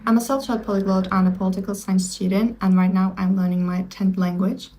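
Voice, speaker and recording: A young woman speaks in a prepared, enthusiastic monologue. Her voice sits in a middle pitch. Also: level tone, brisk, warm tone, low-quality recording. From Turkey. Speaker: guest.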